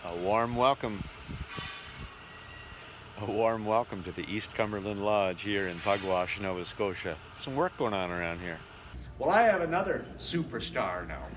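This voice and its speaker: A man's voice: lowish voice, animated, moderate pace, background noise. A man hosting a casual travel vlog, narrating with wry, joking asides. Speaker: vlog host and travelling musician.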